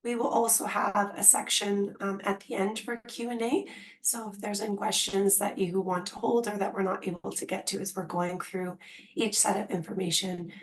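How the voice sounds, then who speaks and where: A woman's voice: mid-range voice, level tone, low-quality recording. Woman speaking carefully with a strained voice. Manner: careful, deliberate enunciation. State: losing her voice. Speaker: associate dean hosting a webinar. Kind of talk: presenting an online information session. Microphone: video call.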